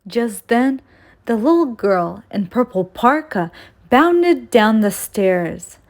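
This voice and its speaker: A woman reads a children's story aloud as a storytime host, in an expressive, deliberate storytelling way. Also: a little high.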